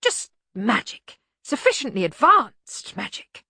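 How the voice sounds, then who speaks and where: A woman's voice: on the high side, sing-song, creaky, sharp tone, slightly rough, no echo, clean studio sound, pitched above the performer's own voice, heavily acted. A woman voicing a sensible, diplomatic male junior wizard, hesitant and deferential, in an audiobook. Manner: halting, deferential, resigned. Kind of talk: acted audiobook dialogue.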